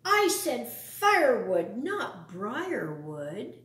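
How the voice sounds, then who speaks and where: A woman's voice: on the high side, huge pitch swings, very slow, a bit bright, distant mic, exaggerated voice. Woman putting on a bossy, snarling vermin villain. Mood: angry. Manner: barking, snarling orders. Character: scruffy bossy male vermin villain, gruff and menacing. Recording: roomy, echoing sound.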